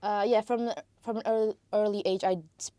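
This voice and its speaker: A woman's voice: mid-range voice, steady tone, fairly quick, warm tone, no room sound. A young woman from Malaysia with an American-sounding Malaysian English accent, chatting as a podcast guest and filling her talk with "like" and "you know". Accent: American-influenced Malaysian English. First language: Tamil. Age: young adult. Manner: chatty, lots of "like" and "you know", frequent restarts. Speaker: podcast guest, international student. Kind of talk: casual interview conversation.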